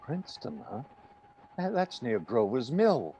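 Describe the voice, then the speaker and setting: An actor playing a paranoid, beaten militia soldier in a radio play, with a jittery, stammering, emphatic delivery. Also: male voice, medium pitch, sing-song, measured pace, warm tone, a little hiss or hum. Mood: suspicious, jumpy. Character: paranoid, defeated militia soldier guarding his territory. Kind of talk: radio play reading, dramatic dialogue.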